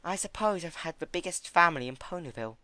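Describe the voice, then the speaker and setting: A man putting on a high, gentle female pony. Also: expressive, fast, no room sound. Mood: gentle, earnest. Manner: soft-spoken, hesitant, earnest. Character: gentle, motherly female pony, firm when cross. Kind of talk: dramatic fan fiction reading.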